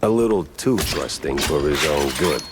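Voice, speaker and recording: An actor playing a weary, wisecracking detective mouse in a noir game, with wry, sardonic delivery. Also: male voice, extremely low pitch, expressive, normal speed, heavy background noise. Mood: wry, brooding. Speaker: protagonist and narrator.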